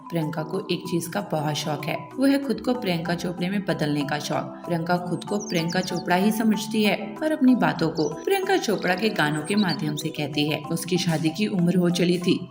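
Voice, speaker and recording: A woman narrating a cartoon story in a steady, plain manner. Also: fairly low pitch. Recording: echoey room with long reverb and music.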